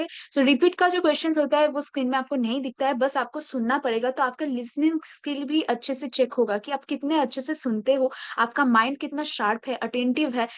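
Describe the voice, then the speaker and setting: High-voiced woman tutor, dry close sound. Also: dry recording. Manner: mixes English phrases into Hindi, repeats "okay?" and "ठीक है?". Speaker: placement exam tutor. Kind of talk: casual explanatory tutorial.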